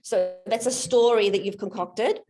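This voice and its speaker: A woman's voice: mid-range voice, moderate pace, warm tone, low-quality recording. A woman coaching a guest through his limiting beliefs on a video call, in a friendly, explanatory way. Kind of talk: live coaching demonstration in an online session.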